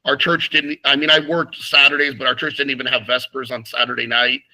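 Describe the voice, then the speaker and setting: A man's voice: medium pitch, flat delivery, brisk, pushed and bright, low-quality recording. A man, a priest being interviewed, talking frankly about his past with many restarts and fillers. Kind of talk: casual interview conversation.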